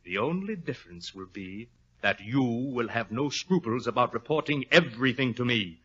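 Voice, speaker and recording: An actor playing a sinister, gloating criminal mastermind in 1940s radio drama, suave and mock-courteous. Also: male voice, medium pitch, animated, normal speed, pushed and bright, husky. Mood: smug menace. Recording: dull old radio recording, music behind.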